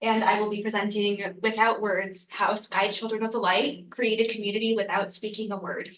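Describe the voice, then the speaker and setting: A woman's voice: medium pitch, level tone, normal speed, a bit bright, echoey room, far from the mic, lo-fi sound. A young adult woman, congested from a cold, giving an earnest student research presentation with frequent ums and uhs. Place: lecture room.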